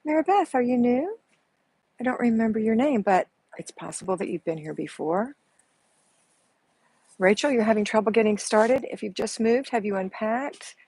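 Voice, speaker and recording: A soft-spoken woman, hosting a casual live chat and answering viewers like a chatty, motherly coach who calls them honey. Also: a little high, animated, normal speed, slightly rough, dry recording, low-quality recording. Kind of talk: casual live-stream chat reading comments. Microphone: earbuds with mic.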